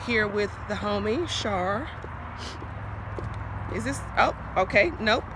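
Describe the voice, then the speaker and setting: A woman's voice: mid-range voice, lively intonation, takes it slowly, noisy background. A woman in her thirties, a food vlogger chatting casually and enthusiastically into a phone at arm's length in a restaurant. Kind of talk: casual vlog narration. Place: restaurant dining room. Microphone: phone camera at arm's length. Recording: loud music behind. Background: loud music.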